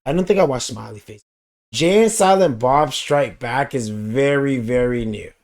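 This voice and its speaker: A young man with a raspy voice hosting a reaction video, talking in a laid-back, slangy, rambling way. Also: medium pitch, moderate pace, darkish tone. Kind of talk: casual reaction commentary.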